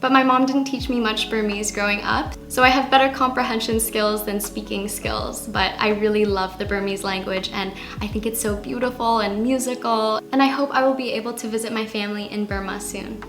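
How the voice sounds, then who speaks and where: A woman's voice: a little high, steady tone, a little fast, hardly any pauses, fairly bright tone. A woman in her twenties, a vlogger telling her personal story and answering questions. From born in Africa, raised partly in Australia and the United States. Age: 20s. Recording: roomy echo with music behind. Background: background music.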